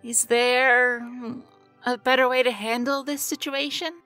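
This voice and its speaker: Woman playing a slow-speaking, earnest high elf. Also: steady tone, pushed and bright, soft background music. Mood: earnest, pleading. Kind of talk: fantasy audio drama acting.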